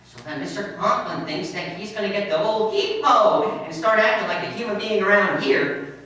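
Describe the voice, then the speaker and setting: A man playing a scheming, excitable teenage schoolboy in an old-time radio comedy, with exclamatory boyish delivery. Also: high-pitched, normal speed. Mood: eager scheming. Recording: roomy echo, distant mic, faint noise.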